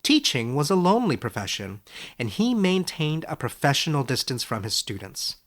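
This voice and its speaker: A man narrating a fairy tale from a script in a measured storytelling manner. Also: medium pitch, big pitch swings, fairly bright tone. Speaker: audiobook narrator.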